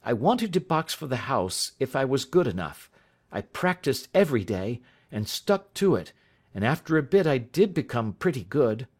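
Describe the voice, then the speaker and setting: Man playing a nervous teen schoolboy, polite, hesitant, lively pitch. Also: normal speed. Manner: hesitant, polite, saying sir.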